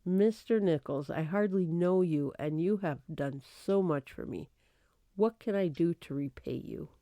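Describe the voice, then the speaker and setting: A woman's voice: on the low side, a little slow, mellow, no room sound. A woman playing a polite, earnest young woman down on her luck, speaking formally in an old-time radio drama. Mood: grateful. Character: polite, earnest young woman, newly destitute, seeking work.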